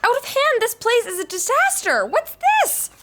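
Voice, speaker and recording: A woman's voice: very high-pitched, big pitch swings, moderate pace, heavy background noise. A woman playing a frantic, bossy young woman in a sitcom, urgent and exasperated. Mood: panicked.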